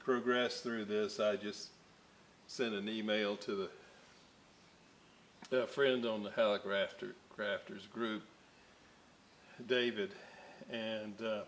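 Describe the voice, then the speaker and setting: A man's voice: fairly low pitch, level tone, slow pace, long pauses, a bit bright, a little hiss or hum. A man casually narrating his radio restoration work at an electronics workbench, rambling with many fillers and side stories. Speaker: electronics restoration hobbyist host.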